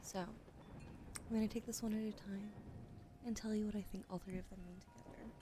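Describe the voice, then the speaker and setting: A woman streamer giving a gentle tarot reading, often dropping into a whisper while she greets chat. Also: mid-range voice, fairly even intonation, moderate pace, very noisy.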